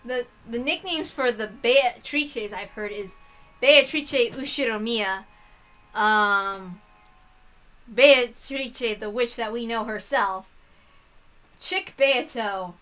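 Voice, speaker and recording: A woman host reading a visual novel aloud, mixing dramatic narration with excitable, irreverent, sweary asides. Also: fairly high pitch, slow pace, poor audio.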